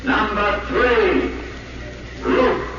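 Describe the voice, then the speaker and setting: A stadium announcer calls out in a high voice, extremely drawn out, over an echoing public address system in old radio drama. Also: male voice, very slow, fairly bright tone, exaggerated voice. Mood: formal, drawn-out. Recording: echoey, muffled, noisy old radio recording.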